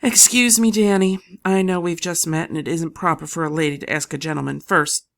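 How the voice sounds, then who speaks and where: A woman's voice: fairly low pitch, normal speed, gravelly, fairly bright tone. A woman doing a nervous, proper young woman. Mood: earnest, nervous. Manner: polite, earnest.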